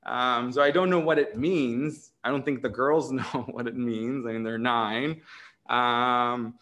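A man's voice: mid-range voice, steady tone, a little slow, a bit bright. Israeli man musing on a video call. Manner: thoughtful, many fillers and restarts, frequent "right?". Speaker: author in conversation. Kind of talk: academic video-call conversation. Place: home room indoors.

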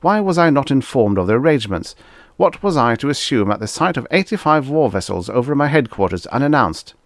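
A man's voice: lowish voice, sing-song, a little fast, creaky, no room sound, studio quality, pitched above the performer's own voice. A man voicing a pompous, easily panicked ambassador, barking and indignant in a science fiction audiobook. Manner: barking, indignant, self-important.

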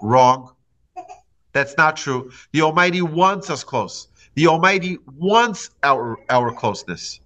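A man in his forties, a rabbi giving a lively class with stories and acted-out quotes, speaking close into a studio mic in a small room. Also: on the high side, takes it slowly. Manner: lively storytelling with hand gestures and acted quotes. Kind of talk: animated Torah lecture. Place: indoor home studio before a plain wall. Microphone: large studio mic on boom arm, close.

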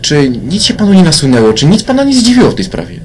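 A man playing a brisk, blunt police inspector with colloquial, halting speech in a crime radio play. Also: on the high side, huge pitch swings, a little mellow, a little hiss or hum. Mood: businesslike, probing.

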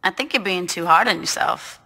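An actress playing a level-headed young gang lieutenant in a video game, casual and reassuring. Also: female voice, on the low side, a little fast, bright, forceful tone, in a large hall. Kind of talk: video game cutscene acting.

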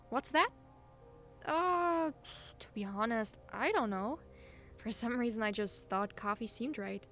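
Chatty, gently teasing young woman, acted. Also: medium pitch, close to an everyday voice. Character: friendly, caring, teasing young woman hosting her crush. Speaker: voice actor in an audio roleplay. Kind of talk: intimate ASMR-style audio roleplay, casual one-sided chat.

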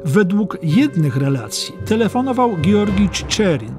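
A man's voice: high voice, big pitch swings, unhurried. A man giving scripted narration of a historical documentary audiobook. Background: music.

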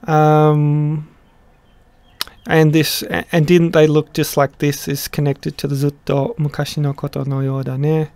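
Middle-aged male teacher, slightly higher pitch, halting and reflective, dry close webcam sound. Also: monotone, a little mellow, smooth, no echo. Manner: thoughtful, halting, many restarts and fillers. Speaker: song translator explaining lyrics. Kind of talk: casual explanatory lesson. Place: small room indoors.

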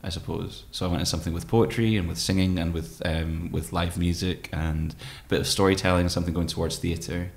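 A man in his thirties talking reflectively as an interview guest, hesitant and thoughtful, pausing often. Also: low-pitched, steady tone, vocal fry. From Scottish Highlands. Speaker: guest, poet and musician. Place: room with tall windows, indoors. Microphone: microphone on desk stand.

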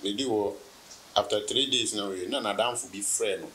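Bright-voiced Ghanaian man telling stories. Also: on the low side, brisk, slight background noise, low-quality recording. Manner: relaxed, anecdotal, laughs while telling. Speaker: guest, businessman. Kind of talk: casual interview storytelling. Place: indoor room, seated on sofa.

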